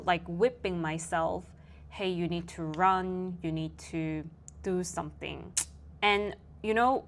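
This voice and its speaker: A young woman with a Korean accent, speaking English as a second language in a reflective personal monologue, thoughtful and soft-spoken with frequent fillers. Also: on the low side. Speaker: vlogger.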